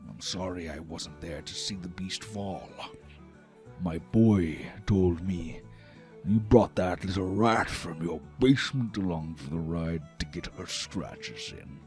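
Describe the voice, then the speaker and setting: A man voicing a burly, teasing father giant with a booming voice and bluff, mocking banter. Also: slow, over music.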